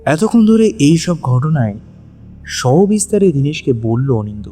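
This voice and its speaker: Dark-toned male story narrator over music. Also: a little high, sing-song, moderate pace. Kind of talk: scripted dramatic story narration. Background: background music.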